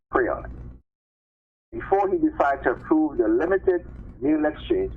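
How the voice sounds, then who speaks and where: A man reading news articles aloud on a live stream, haltingly, repeating phrases and adding informal commentary. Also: medium pitch. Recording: tinny phone-line sound with clear background noise.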